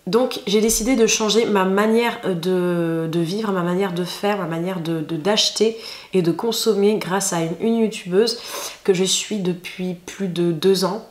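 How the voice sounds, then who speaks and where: A French woman vlogging in a casual chat, hoarse and stuffed up from a cold, talking with many fillers and restarts. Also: mid-range voice, measured pace, darkish tone. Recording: echoey room with faint noise.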